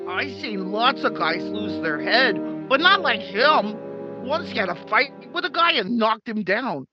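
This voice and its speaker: A performer playing an excitable young schoolmate witness in a 1950s radio drama. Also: medium pitch, unhurried, muffled recording, over music. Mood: excited, insistent.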